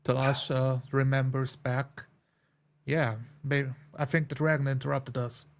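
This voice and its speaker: Flat-toned man roleplaying. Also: mid-range voice, measured pace. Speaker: tabletop game player. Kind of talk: in-character roleplay with third-person narration.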